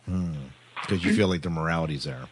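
A man with a very low voice hosts a podcast. He talks casually with his guests, in a conversational discussion style. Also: fairly quick, husky. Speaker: podcast host.

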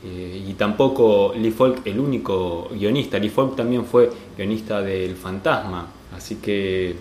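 A man's voice: lowish voice, a little slow, a little mellow, slight background noise. Argentine man hosting in a roomy space. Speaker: podcast host. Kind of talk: podcast presenting and conversation. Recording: roomy echo, faint music behind.

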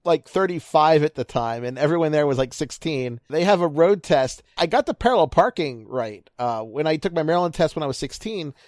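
A man's voice: on the high side, animated, brisk, few pauses, dry recording, clean studio sound. Nasal man, rambling and self-deprecating. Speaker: podcast guest. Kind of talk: casual chat.

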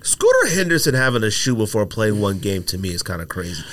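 A man's voice: fairly low pitch, big pitch swings, fast talker, fairly bright tone. Slangy man pressing his point. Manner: slangy, repeats himself when pushing a point. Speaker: podcast co-host. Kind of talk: casual chat.

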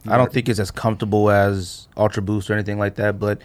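A man with an American accent and a lowish voice hosts a casual podcast. He speaks conversationally, with plenty of slang, in relaxed back-and-forth banter. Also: fast talker. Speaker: podcast host. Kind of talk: casual banter and questioning about sneakers.

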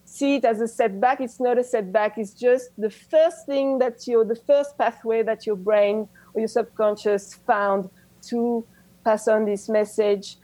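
A woman with a French accent, a native French speaker, talking as a guest about coaching in a casual interview, enthusiastic and halting, with many restarts. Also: on the high side, steady tone, mellow, dry recording, low-quality recording.

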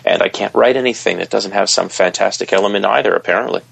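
A man guesting on a podcast as an author, his voice heavily gravelly with a husky edge. He sits a little low in pitch and talks eagerly at length. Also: quick pace, a bit bright. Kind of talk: casual interview chat.

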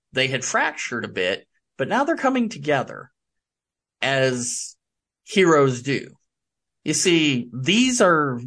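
A man speaking as a guest author in a casual interview, explaining things in a halting, rambling way. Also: a little high, fairly bright tone. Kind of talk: casual interview chat about his book.

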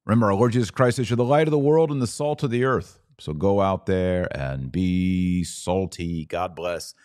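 A man's voice: low-pitched, normal speed, a little mellow, no room sound, studio quality. A man hosting a live podcast, reading and answering viewer comments in emphatic, punchy bursts of short phrases. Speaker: podcast host.

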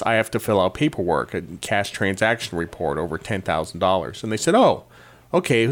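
A middle-aged man from Connecticut hosting a podcast, rambling through wry personal anecdotes in a casual monologue. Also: lowish voice. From Connecticut, USA. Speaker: podcast host.

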